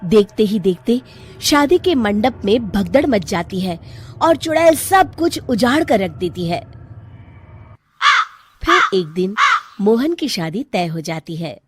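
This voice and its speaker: A woman's voice: mid-range voice, big pitch swings, takes it slowly, a little hiss or hum. A woman reading scripted narration for a cartoon folk tale.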